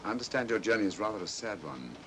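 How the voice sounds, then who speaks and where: A man with a British accent, acting a cool, astute gentleman detective with clipped, dry assurance in a vintage mystery film. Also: sing-song, very fast. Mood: cool, discreet. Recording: vintage film soundtrack, noisy train rumble.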